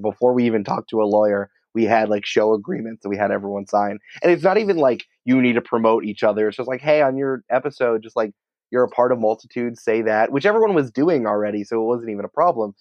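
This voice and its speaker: A man's voice: mid-range voice, no room sound, highs cut off. A man speaking as a guest in a casual interview, explaining things in an informal, colloquial way. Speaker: podcast company creative head, interview guest.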